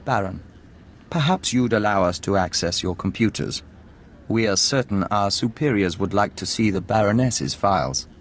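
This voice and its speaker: An actor playing a calm emissary of an insect hive in a video game, speaking formally and with detachment, as a collective "we". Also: male voice, fairly low pitch, normal speed, slight background noise. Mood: measured.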